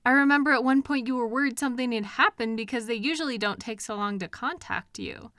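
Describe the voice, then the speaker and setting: A woman's voice: a little high, no room sound, clean studio sound. A woman playing a caring partner in an intimate comfort roleplay, speaking tenderly and expressively straight to the listener. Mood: concerned, puzzled. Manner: tender, expressive, with soft pauses and questions. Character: caring, gently indignant woman comforting her upset partner. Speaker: audio roleplay performer. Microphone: close microphone in a dry room.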